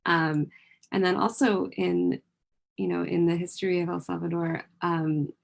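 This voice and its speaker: A woman with heavy, gravelly vocal fry and a slightly low voice. She talks reflectively and hesitantly, pausing often and restarting her sentences. She sounds like she is on a video call. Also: level tone. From California, United States. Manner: hesitant, many fillers and restarts. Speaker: writer and fellow in a panel conversation. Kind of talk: reflective casual conversation.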